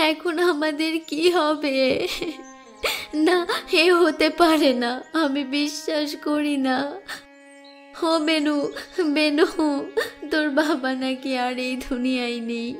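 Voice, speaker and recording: A woman's voice: high voice, fairly even intonation, takes it slowly, long pauses. A woman playing a grief-stricken village mother, crying and lamenting in a melodramatic cartoon style. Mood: despairing. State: crying, wailing. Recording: music behind the voice.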